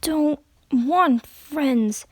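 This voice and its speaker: A woman voicing a frightened, confused pony mare who speaks in halting, muttered fragments. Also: sits high, dry recording, voice pushed up. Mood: withdrawn, sad.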